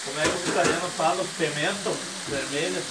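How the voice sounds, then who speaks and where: Southern Brazilian man chatting over loud sizzling. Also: a little high, steady tone, fairly quick. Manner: colloquial, jokey, explanatory. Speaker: home cook presenting a recipe. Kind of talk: casual cooking chat. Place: home kitchen beside a sizzling pan. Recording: loud frying sizzle and stirring noise.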